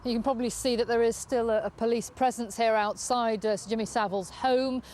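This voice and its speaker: A woman news correspondent giving a measured live report outdoors, pausing now and then as she improvises. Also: on the high side, fairly even intonation, darkish tone. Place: outdoors on a residential street. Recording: outdoor street ambience with traffic noise.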